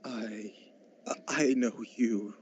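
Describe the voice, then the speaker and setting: A man's voice: medium pitch, steady tone, very slow, slightly rough. A man playing a frightened, pleading old miser in a radio drama, with a stammering, dramatic delivery. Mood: fearful. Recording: dull lo-fi audio.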